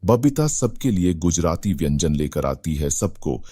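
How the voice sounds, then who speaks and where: Male storyteller for scripted moral tales; extremely deep, dark, husky. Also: very animated, unhurried, no room sound. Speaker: narrator.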